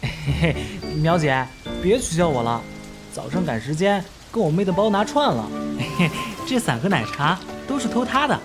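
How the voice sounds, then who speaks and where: An eager, apologetic young rookie officer for an animated crime drama. The delivery is chatty and sheepish with very animated intonation, and faint music plays behind. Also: female voice, lowish voice, moderate pace.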